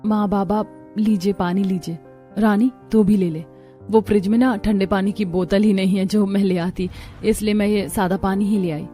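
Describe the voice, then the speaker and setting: A woman's voice: medium pitch, steady tone, moderate pace, mellow, soft background music, natural voice. A woman voicing a meek, dutiful young daughter-in-law in a cartoon story. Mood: meek, polite.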